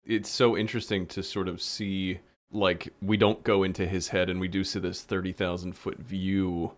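A man's voice: deep voice, level tone, normal speed. A man talking reflectively about a story in a conversational panel style.

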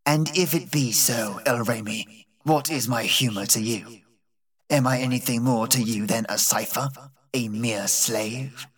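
A man reading an old deaf servant woman's lines in a low, harsh, slow, bitter voice for an audiobook. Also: husky, far from the mic.

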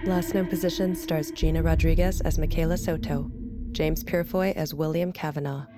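A woman announcer reading scripted credits in a measured, matter-of-fact way. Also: low voice, steady tone. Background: loud music.

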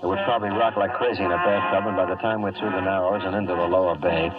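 Low, quick-talking wisecracking American radio tough guy played by a man. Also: recorded from a distance, over loud music, natural voice. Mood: wry narration. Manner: wry, slangy, hard-boiled deadpan. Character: wisecracking streetwise male adventurer narrating his escapade. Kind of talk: 1950s radio detective drama acting. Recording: muffled vintage 1950s radio recording.

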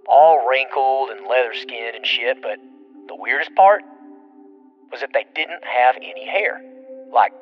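Middle-aged male caller, played by an actor, muffled phone message, animated pitch, spooked rambling storyteller, profane, audio drama. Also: close to an everyday voice. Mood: wry, uneasy. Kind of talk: audio drama monologue as voicemail. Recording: lo-fi radio-like phone message, music behind. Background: music.

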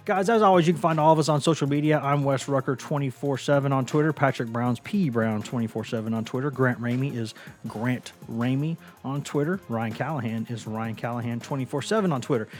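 A hoarse man hosting a sports podcast, talking casually and often restarting his sentences with repeated words. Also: medium pitch, fairly quick, faint music behind. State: hoarse from a lingering cough.

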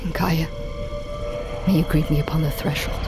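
A woman's voice: low voice, steady tone, moderate pace. An actress playing a commanding, urgent wounded huntress leading her pack in a fantasy audio drama. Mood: solemn prayer. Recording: dramatic music bed behind. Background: loud cinematic music.

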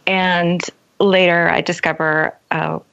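A woman with a fairly low voice speaks as a guest author in an interview. She is articulate and thoughtful, reflecting on her craft. The recording is a little dull and lo-fi. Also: little pitch movement, slow, creaky, a bit bright. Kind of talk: reflective interview conversation about writing.

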